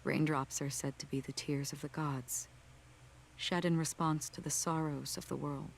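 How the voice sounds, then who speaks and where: An actress voicing a calm, solemn woman wanderer in a game cutscene, speaking softly and evenly. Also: low-pitched, moderate pace, creaky, a little hiss or hum. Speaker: game character. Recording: game audio with music under it.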